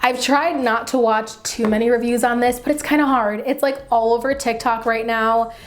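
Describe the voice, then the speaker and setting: A woman beauty vlogger chatting casually and enthusiastically to camera, with a slightly dry, raspy voice from getting over the flu. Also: on the high side, steady tone, normal speed. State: getting over the flu, mild sore throat. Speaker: beauty vlogger, channel host.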